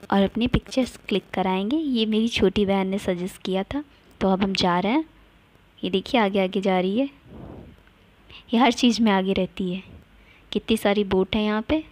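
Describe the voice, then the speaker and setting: A woman's voice: mid-range voice, a little mellow. Chatty young woman vlogger. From India. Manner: chatty, cheerful, points things out to viewers. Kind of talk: casual travel vlog narration.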